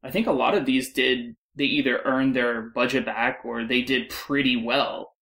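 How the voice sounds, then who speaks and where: A man's voice: mid-range voice, steady tone, moderate pace, gravelly, fairly bright tone. A man chatting as a guest in a casual podcast discussion.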